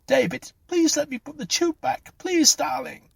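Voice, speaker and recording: A man voicing a frantic, pleading, guilt-haunted woman in a thin, breathy, tremulous falsetto for a storybook. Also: very animated, measured pace, a bit bright, no echo, clean studio sound, full character voice. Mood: desperate pleading.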